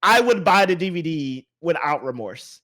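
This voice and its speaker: A man's voice: fairly high pitch, lively intonation, normal speed, a bit bright. A man hosting a podcast, chatting casually about movies in an informal, emphatic way. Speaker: podcast host.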